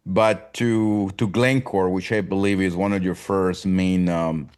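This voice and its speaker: A man hosting a podcast interview who asks his guest questions in a halting way, often repeating himself. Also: deep voice, fairly even intonation, darkish tone, clear, resonant tone. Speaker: podcast host.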